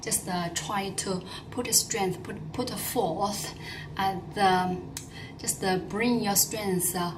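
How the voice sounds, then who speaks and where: A woman's voice: medium pitch, steady tone, slow, recorded from a distance, noisy background. Chinese-accented woman teaching, echoey room. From China. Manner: explanatory, with many fillers and repeated phrases. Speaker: language teacher. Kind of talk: casual pronunciation lesson. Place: in Dubai.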